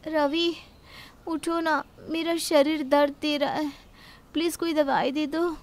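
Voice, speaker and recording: A woman's voice: high-pitched, fairly even intonation, slow, pitched above the performer's own voice. A woman voicing a young daughter-in-law in a moral story, meek, sweet, polite and eager to please. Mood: pleading. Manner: pleading, soft-spoken politeness. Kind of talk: acted Hindi moral-story dialogue.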